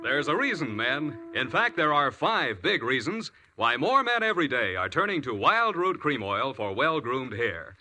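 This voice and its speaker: A man's voice: mid-range voice, very animated, moderate pace, few pauses, over loud music. A man with an American accent reading a 1940s radio commercial as an announcer, in an emphatic, polished sales style. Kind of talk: 1940s radio advertising pitch.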